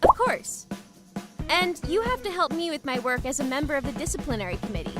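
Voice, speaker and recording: A woman's voice: sits high, sing-song, a little fast. A woman voicing a stern, serious teenage class monitor in an anime-style dub, blunt and commanding. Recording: background music under voice.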